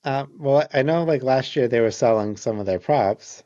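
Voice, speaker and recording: A middle-aged man hosting a casual chat, upbeat and joking, talking in quick bursts. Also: medium pitch, dark tone, dry recording. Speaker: host. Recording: dry, muffled sound.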